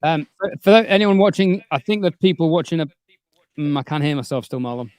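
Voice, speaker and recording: A man's voice: on the high side, animated, a little fast, a little mellow, smooth, no room sound. A man hosting a casual livestream from his home studio, chatty and joking, with plenty of fillers, speaking close to a studio microphone. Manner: chatty, jokey, trailing fillers. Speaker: livestream host. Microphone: studio dynamic microphone.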